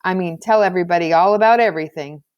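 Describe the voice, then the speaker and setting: A woman voicing an excitable, earnest school-age boy from a storybook, with informal, emphatic delivery. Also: fairly low pitch, quick pace, highs cut off, lightly acted. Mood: reluctant.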